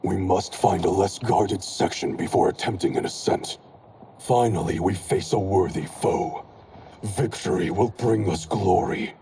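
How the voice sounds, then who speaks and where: A man's voice: sits low, unhurried, noisy background. An actor playing a cold, proud male clan grand master in a game cutscene. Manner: cold, contemptuous. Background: orchestral game music.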